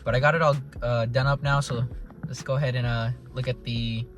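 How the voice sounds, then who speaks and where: A man's voice: mid-range voice, steady tone, fairly quick, fairly bright tone, faint music behind. A man casually narrating a car vlog in a laid-back, rambling way with plenty of slang, recorded in and around his car at home. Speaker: car vlogger.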